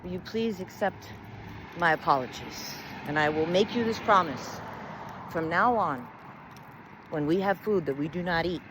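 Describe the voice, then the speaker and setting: A woman voicing an old woman in an oral story, speaking in a deliberate, emphatic way. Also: on the low side, noisy background, natural voice. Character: old woman, blunt and wary, later humbled.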